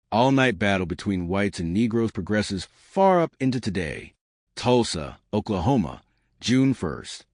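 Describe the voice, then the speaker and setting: A man's voice: lowish voice, no echo, clean studio sound. A man narrating scripted newspaper reports with a formal news-reading delivery.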